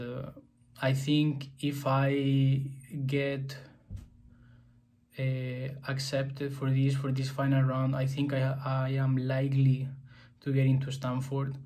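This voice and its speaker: A young man from Spain speaks casually to his camera in a small indoor room. His delivery is flat and even, at an ordinary middle pitch, and he hesitates often, restarting phrases and filling gaps. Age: 20s. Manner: hesitant, many fillers and restarts. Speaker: vlogger.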